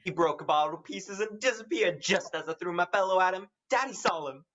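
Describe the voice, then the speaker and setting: An actress playing an excited young child in a radio play. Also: female voice, lowish voice, fairly quick. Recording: dull, noisy recording.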